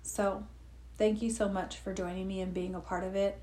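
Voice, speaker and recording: A woman's voice: medium pitch, little pitch movement, moderate pace. Sniffly woman guiding meditation. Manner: gentle, rambling, with personal asides. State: sniffly from allergies. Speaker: meditation guide. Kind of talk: guided meditation talk. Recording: echoey room with faint noise.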